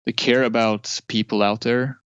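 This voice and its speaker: A man's voice: mid-range voice, fairly even intonation, moderate pace, clear, resonant tone, dry recording, muffled recording. A man from Norway, a guest in an interview, talking casually about his money habits with many fillers and restarts.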